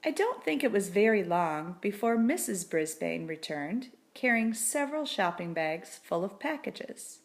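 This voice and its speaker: A woman narrates a children's storybook at a slow, careful pace. Her reading is expressive and deliberate, with a medium-pitched voice. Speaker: reader of a children's book. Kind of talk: storybook read-aloud narration.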